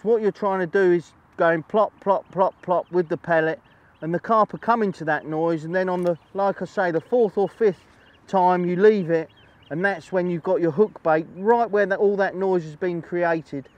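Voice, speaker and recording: A man's voice: high-pitched, normal speed, dull audio. A middle-aged man presents a chatty, instructional fishing demonstration, explaining as he goes and often repeating phrases. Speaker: angling presenter. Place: outdoors on a lakeside bank.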